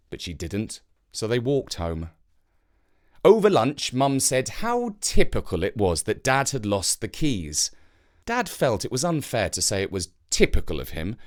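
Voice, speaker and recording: A children's story narrator. The voice sits at a medium pitch but rises and falls dramatically. The phrasing is expressive and deliberate.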